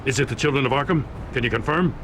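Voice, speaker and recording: A man's voice: medium pitch, quick pace, lightly acted. An actor voicing a weary, earnest middle-aged male police commissioner in a video game. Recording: game audio with background noise.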